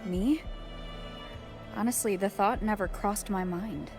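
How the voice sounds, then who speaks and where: A woman voicing a sincere, thoughtful young heroine in a video game, speaking in a gentle, reflective way. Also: medium pitch, slow pace. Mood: earnest, encouraging. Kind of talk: earnest game dialogue. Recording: music behind the voice. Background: background music.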